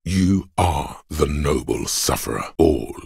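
A man voicing a grim, stoic inner voice of endurance for a game, in a solemn, portentous manner with a husky, gravelly quality. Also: extremely low pitch, lively intonation, slow, darkish tone, no room sound, clean studio sound, heavily acted. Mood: solemn, menacing. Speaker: voice actor. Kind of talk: dramatic game narration, second person.

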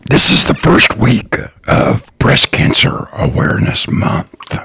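A man hosting his own podcast, talking slowly and earnestly in a raspy, partly whispered voice. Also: medium pitch, huge pitch swings, sharp tone. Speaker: podcast host, lawn care business owner. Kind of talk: slow, earnest solo podcast talk.

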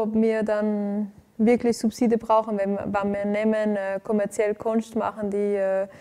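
A woman's voice: mid-range voice, warm tone, clear, resonant tone. A woman, answering interview questions in a living room with many fillers and restarts. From Venezuela. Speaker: interviewee, arts director. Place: living room with lights set up for filming.

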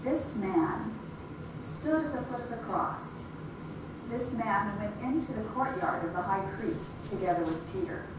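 A woman explaining things deliberately and emphatically in a documentary interview. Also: mid-range voice, animated. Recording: echoey, distant playback with noise.

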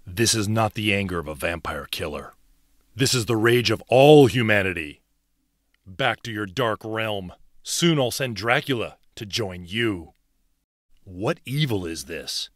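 A man voicing a valiant, devout vampire hunter from a video game, speaking in an earnest, measured, theatrical way. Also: on the low side, animated, a bit bright, no room sound, studio quality. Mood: righteous fury. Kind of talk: dramatic video game character acting.

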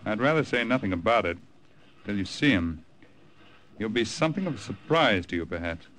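An actor playing a stern, deliberate army colonel giving clipped, authoritative orders in a 1950s radio drama. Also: male voice, lowish voice, animated, fairly bright tone. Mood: measured, secretive. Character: stern, deliberate military colonel briefing agents. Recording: noisy old radio recording.

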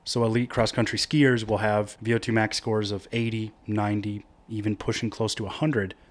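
A man's voice: fairly low pitch, level tone, normal speed. A young man in his twenties, an upbeat vlogger explaining a sport in a chatty way from a cozy living room. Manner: chatty, upbeat, slangy asides. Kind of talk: casual explainer vlog.

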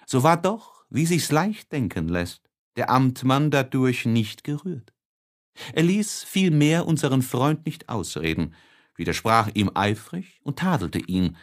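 A man narrating a literary audiobook in a dramatic, measured storytelling manner. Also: mid-range voice.

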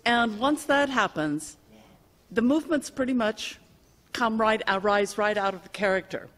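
A middle-aged woman speaking in a measured, articulate, theatrical way in an interview. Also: on the high side, a bit bright. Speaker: community theater actress. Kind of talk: cast interview. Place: empty theater auditorium. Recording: reverberant theater hall.

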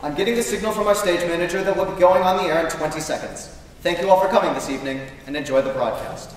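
A man's voice: high voice, fast, a faint crowd, natural voice. A man playing a grand, jovial 1940s radio show host, announcing theatrically on a stage. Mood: genial, showy. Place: large school theatre stage. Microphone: distant camera. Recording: echoey auditorium from far off, audience noise.

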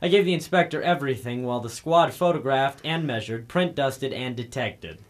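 A man playing a brisk, excitable, wisecracking detective's assistant in old radio drama. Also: mid-range voice, natural voice. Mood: wry narration. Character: wisecracking male legman of a private detective. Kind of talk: 1950s radio drama acting. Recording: vintage radio recording with music.

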